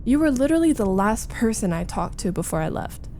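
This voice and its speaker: A woman voicing a flustered, needy young roommate in a chatty, halting, defensive roleplay audio, confiding as she vents. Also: on the high side, sing-song, mellow, slight background noise. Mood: mock indignant. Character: flustered, needy young female roommate venting about a date. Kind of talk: roleplay ASMR audio acting.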